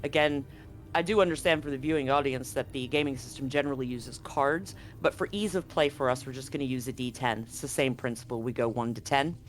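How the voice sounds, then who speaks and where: A man's voice: mid-range voice, fairly quick. A man narrating a live tabletop game as game master, conversational and explanatory. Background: background music.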